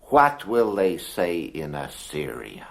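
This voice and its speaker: A sly Egyptian court magician in a dramatized audio play. His voice sits low and moves slowly while it rises and falls dramatically. He needles and goads in theatrical, staged tones. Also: sing-song, creaky, full character voice. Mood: goading.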